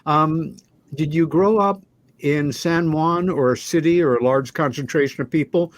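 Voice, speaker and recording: A man hosting a talk show, speaking in a measured, deliberate, conversational way. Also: on the high side, no room sound. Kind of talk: conversational show introduction and interviewing.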